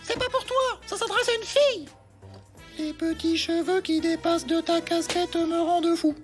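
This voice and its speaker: A woman's voice: very high voice, normal speed, faint music behind. A woman voicing a know-it-all young schoolgirl in a cartoon dub, theatrical and condescending. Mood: reciting, puzzled. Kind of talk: French cartoon dubbing.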